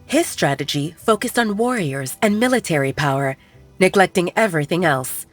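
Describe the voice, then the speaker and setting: Woman, audiobook narrator, low pitch, measured storytelling, faint music underneath. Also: animated.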